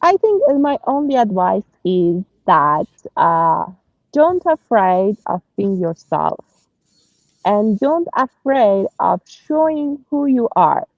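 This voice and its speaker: A woman's voice: mid-range voice, sing-song, slow pace, no echo, muffled recording. Iranian-accented woman, earnest and hesitant. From Iran. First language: Persian. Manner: hesitant, many fillers and restarts, earnest. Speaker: guest. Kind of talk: conversational interview.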